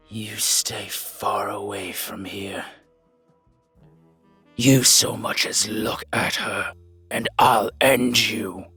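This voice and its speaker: A man's voice: on the low side, sharp tone, breathy edge, full character voice. A man voicing a brooding, dangerous dragon mafia boss, intense, threatening and fiercely protective, in an audio roleplay. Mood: furious, protective.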